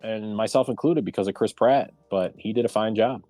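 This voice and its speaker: A man's voice: on the low side, brisk, mellow, no room sound, faint music behind. A man hosting a casual round-table chat about movies and games, speaking in an enthusiastic, opinionated way. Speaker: host of round-table discussion.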